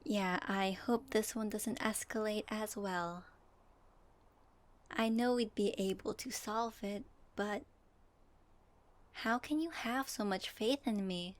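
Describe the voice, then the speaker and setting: A woman playing a gentle, curious young female traveler in a soft ASMR audio roleplay, pausing as if for an unheard partner. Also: mid-range voice, natural voice. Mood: soft, earnest.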